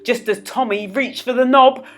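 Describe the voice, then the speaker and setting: A woman narrating a children's story as a storyteller, with dramatic, expressive delivery. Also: fairly high pitch, normal speed, heavy background noise. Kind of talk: children's read-aloud narration.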